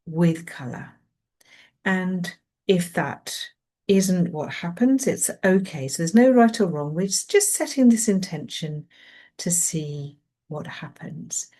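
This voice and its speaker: A woman leading a guided mindfulness meditation in a gentle, soothing manner, leaving long pauses between phrases. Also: on the low side.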